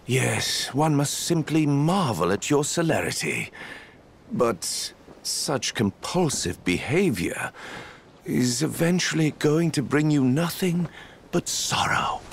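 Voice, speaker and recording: Creaky, pompous, mocking villain. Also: male voice, a little high, sing-song, slight background noise, heavily acted. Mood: smug taunting. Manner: pompous, drawn-out taunting. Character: suave, mocking villain with theatrical eloquence. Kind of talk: dramatic video game acting.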